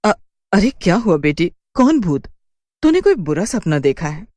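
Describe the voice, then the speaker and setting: A woman acts as a worried middle-aged mother in an animated horror tale. She is fretful and urgent, her pitch moving expressively, guarding her child fiercely. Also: normal speed, no echo, clean studio sound. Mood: dismissive, soothing. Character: anxious protective middle-aged mother of grown daughter. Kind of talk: Hindi horror cartoon dubbing.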